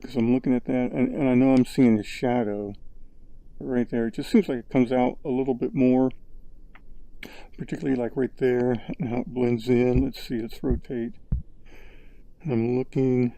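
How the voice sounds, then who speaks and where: A man narrating his VR sculpting session in a relaxed, rambling way, thinking aloud with lots of fillers, recorded on a headset's built-in mic. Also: medium pitch, normal speed, pauses often, dry recording, poor audio. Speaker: VR sculpting creator. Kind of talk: casual tutorial narration.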